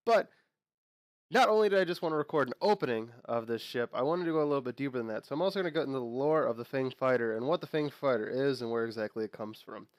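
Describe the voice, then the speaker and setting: A man's voice: mid-range voice, quick pace, dry recording. A young man hosting his own channel, sounding nasal from a cold, chatting casually and rambling with fillers and restarts. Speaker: host. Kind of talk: casual unboxing and lore talk.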